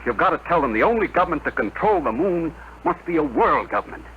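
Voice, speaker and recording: Muffled old radio, an older general, very animated, emphatic fifties sci-fi drama style. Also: male voice, on the high side, fast, a little hiss or hum. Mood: impassioned pleading. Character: older male general, impassioned and self-sacrificing. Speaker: radio actor. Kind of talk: 1950s radio drama acting.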